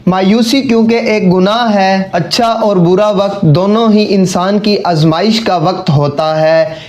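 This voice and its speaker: A man's voice: sits high, steady tone, measured pace, darkish tone. A man delivering scripted narration for a cartoon moral story.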